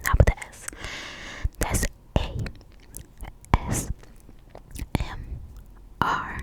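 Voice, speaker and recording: American female, 20s, breathless whispered ASMR host, casual and rambling, softly repeats words. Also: poor audio. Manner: chatty, long-winded, repeats words softly. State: pregnant and short of breath.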